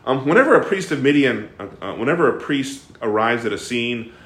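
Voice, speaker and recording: A man teaches a Bible class in an echoey room, his voice sitting at an ordinary middle pitch. He talks animatedly, stops often to toss questions to the group, and stumbles through fillers and restarts. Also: very animated, a little fast, fairly bright tone. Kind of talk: interactive bible study teaching.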